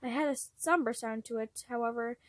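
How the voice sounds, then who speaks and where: A woman's voice: on the high side, a little fast, no echo, clean studio sound. A woman reading fan fiction aloud as an amateur narrator, expressively and with acted dialogue.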